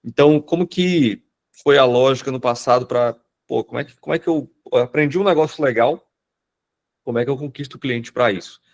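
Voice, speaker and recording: A man with a cold, hoarse and a bit nasal, giving a casual live presentation on a video call with many fillers and restarts. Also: mid-range voice, fairly quick. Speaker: automation business owner presenting in a webinar.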